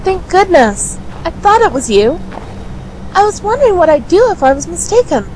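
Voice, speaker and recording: A sweet, eager teenage girl acted by a woman with a very high, swooping voice. She is polite and easily flustered, in radio drama style, with some noise behind her. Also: normal speed, poor audio. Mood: cheerful, polite. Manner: excitable, polite.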